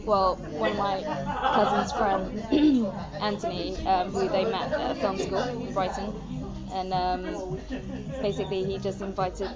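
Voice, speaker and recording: A woman's voice: mid-range voice, fairly even intonation, measured pace, a loud crowd. A woman in her twenties from Singapore, speaking casually and hesitantly in an interview with many fillers. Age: 20s. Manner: hesitant, lots of ums and likes. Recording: dull lo-fi sound with loud music.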